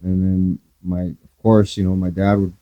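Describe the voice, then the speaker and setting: A man co-hosting a podcast, sharing a related memory in quick, relaxed bursts with restarts. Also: deep voice, dull audio. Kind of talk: casual conversation.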